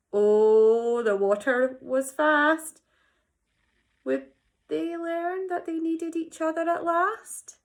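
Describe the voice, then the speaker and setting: A high-voiced woman narrates a children's picture book at a slow, measured pace. She stresses words dramatically and breaks off for chatty asides to young listeners, as a teacher would. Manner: animated, dramatic emphasis. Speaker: teacher reading a story to children.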